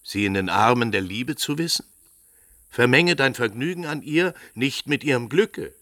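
Stern, bright-voiced proud father. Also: mid-range voice, lively intonation, moderate pace, no room sound, studio quality. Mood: stern, admonishing. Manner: declamatory, vehement. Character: stern, proud, hot-tempered father of a bride. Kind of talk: 1950s German radio drama acting.

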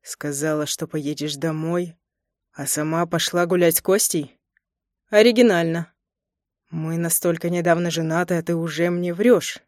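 Woman doing angry possessive young husband, ironic and accusing, audiobook dialogue, lowish for a lady. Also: steady tone, normal speed, a little mellow, no room sound, clean studio sound, natural voice. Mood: ironic reproach. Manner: biting, sarcastic, growling delivery.

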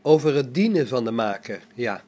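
An amateur actor in a radio play as a calm, pious robot, a man speaking in a measured, solemn, preachy manner. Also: mid-range voice, close to an everyday voice. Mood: polite, serene.

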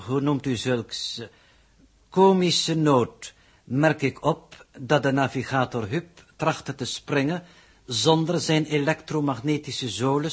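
An actor playing a pedantic, formal alien coordinator who reports in stilted, ponderous phrases in a 1960s radio drama. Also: male voice, medium pitch, slightly rough. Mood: dry, pedantic.

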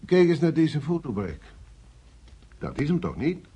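Radio play: sharp-questioning intelligence superior, commanding, mid-pitched and rough-edged with lively inflection. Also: male voice, a little slow, exaggerated voice. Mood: brisk, uneasy. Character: brusque, probing male security service superior. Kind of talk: radio drama acting.

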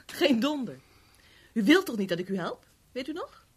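A woman's voice: a little high, sing-song, moderate pace, a little mellow, breathy edge. An actress in a 1970s Dutch radio play, playing a headstrong, prickly daughter of a rich man, insistent and indignant. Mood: indignant, cool. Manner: insistent, indignant, formal address.

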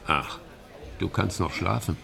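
Nervous male stage-and-screen player, radio drama: heavy fry, low register, halting and slyly satirical. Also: over music, full character voice. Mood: confiding, uneasy. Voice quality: very gravelly, creaky. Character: nervous, slyly satirical male film actor in Nazi Germany. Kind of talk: German radio drama dialogue.

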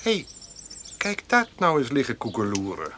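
An actor playing an easygoing, teasing country neighbour who talks casually in a naturalistic radio drama. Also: male voice, mid-range voice, huge pitch swings, moderate pace. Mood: reassuring. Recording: faint outdoor birdsong and background noise.

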